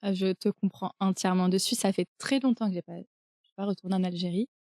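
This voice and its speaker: A woman's voice: medium pitch, fairly even intonation, fast talker, a little mellow, no room sound, clean studio sound. A young woman from France with a French accent, hosting a podcast conversation and talking casually with frequent hesitations. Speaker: podcast host.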